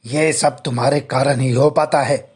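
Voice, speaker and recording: A man's voice: mid-range voice, fairly even intonation, measured pace, husky. A man voicing an appreciative older village headman in a story.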